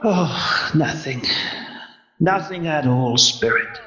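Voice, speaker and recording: A man's voice: fairly high pitch, lively intonation, takes it slowly, bright, forceful tone, full character voice. A man acting an elderly miser in a radio play, stammering, exclaiming and repeating his words with theatrical feeling. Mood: regretful. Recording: roomy, dull audio with music.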